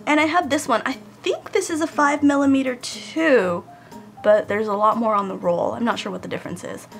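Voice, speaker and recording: A woman's voice: fairly high pitch, brisk, faint music behind. A woman getting over a cold, chatting casually to viewers as she unboxes a craft haul at home. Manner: chatty, addresses viewers directly. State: recovering from a cold. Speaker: craft vlogger. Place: home room with air conditioning, in Japan.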